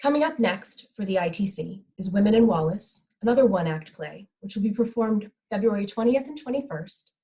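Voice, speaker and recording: Woman announcer doing a scripted intro for a play, medium pitched voice. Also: lively intonation, brisk, hardly any pauses, mellow. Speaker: announcer introducing a theater production.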